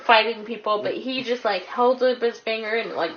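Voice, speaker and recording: A woman's voice: on the high side, moderate pace. A woman co-reviewing a film in casual conversation, informal and sweary, peppering her talk with "like". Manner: informal, heavy use of 'like', swears freely. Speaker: guest co-reviewer. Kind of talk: casual movie review chat. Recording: dull lo-fi sound, clear background noise.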